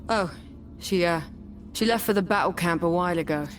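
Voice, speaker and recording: An actress playing a hesitant, plain-spoken camp guard in a fantasy game, with folksy phrasing. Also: female voice, lowish voice, a little fast, a little hiss or hum, close to an everyday voice. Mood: uneasy, helpful.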